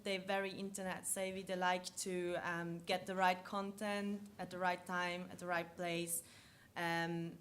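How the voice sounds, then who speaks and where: A woman's voice: fairly low pitch, fairly bright tone. A woman from Austria with an Austrian accent, presenting a marketing session at a conference with slides, explaining step by step with frequent ums and restarts.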